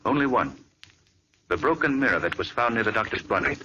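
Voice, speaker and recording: A calm detective who reasons out clues in a measured, lively delivery. The voice is bright, with faint hiss behind it. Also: male voice, mid-range voice, muffled recording. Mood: probing. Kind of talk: 1940s radio acting.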